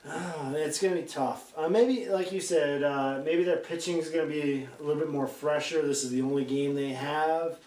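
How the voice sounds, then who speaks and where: A man's voice: fairly high pitch, moderate pace, few pauses, darkish tone. A man co-hosting a sports show, talking in a put-on Boston accent with lots of swearing. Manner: exaggerated Boston slang, profane. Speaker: podcast co-host. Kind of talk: joking sports chat. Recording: echoey room, far from mic.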